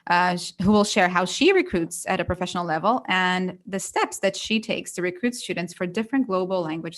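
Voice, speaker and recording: Upbeat female co-host, somewhat low voice. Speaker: podcast co-host, ESL teacher. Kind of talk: podcast chat.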